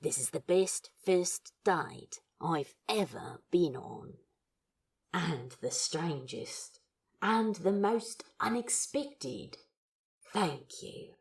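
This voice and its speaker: A woman's voice: on the low side, animated, very slow, heavily acted. A woman playing a smitten, awkward but earnest man on a first date in an audio story, sincere and teasing. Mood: earnest, tender.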